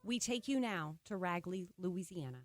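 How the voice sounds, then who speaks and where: A woman playing a composed American news announcer in a radio play, reading a scripted bulletin in a formal, measured manner. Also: fairly low pitch, expressive, loud music behind the voice, natural voice.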